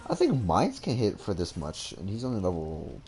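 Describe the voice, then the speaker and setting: A man's voice: low voice, wildly swooping pitch, moderate pace, a little mellow, faint music behind. A young man giving casual gameplay commentary, thinking aloud in a hesitant way. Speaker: gamer.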